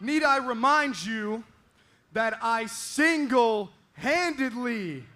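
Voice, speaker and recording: Arrogant teenage man, high voice. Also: animated, slow, noisy background. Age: teens. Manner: arrogant, boastful, yelling at the crowd. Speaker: wrestler. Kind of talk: wrestling promo. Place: indoor arena.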